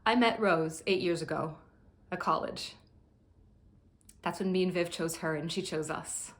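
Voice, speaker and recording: A woman performing a dramatic monologue as a grieving young friend giving a halting, tender eulogy. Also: on the low side, lightly acted. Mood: subdued grief. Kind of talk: dramatic monologue, funeral speech.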